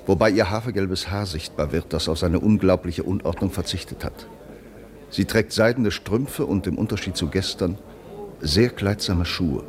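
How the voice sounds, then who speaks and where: Actor as a deep-voiced, grave poet narrator. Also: male voice, close to an everyday voice. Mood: quietly observant. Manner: measured, literary, reflective. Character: grave, enraptured male poet recalling his muse. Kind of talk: first-person radio drama narration.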